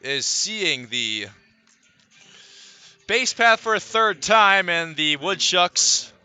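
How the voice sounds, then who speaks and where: A man giving live radio play-by-play of a baseball game, calling it in measured, deliberate phrases with pauses. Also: high-pitched, big pitch swings, sharp tone, clean tone, soft background music. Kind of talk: live radio sports commentary.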